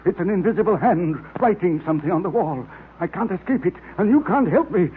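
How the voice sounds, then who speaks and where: A man's voice: sits high, expressive, a little fast, a little hiss or hum. An actor playing a frightened, stammering man under threat in a 1930s radio drama, on a tinny old recording. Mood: panicked dread. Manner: stammering, nervous.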